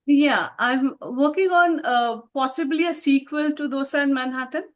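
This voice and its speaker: A woman's voice: high-pitched, normal speed. A woman, an author answering interview questions in a reflective way and laughing often. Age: 50s.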